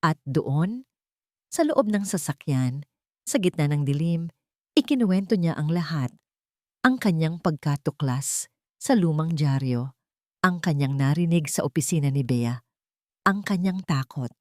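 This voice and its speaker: A woman delivers scripted, dramatic storytelling for a romance tale. Her voice sits a little low for a woman, and the sound is dry, with no room around it. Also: animated, a little slow, pauses often, dark tone, dry recording. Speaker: story narrator.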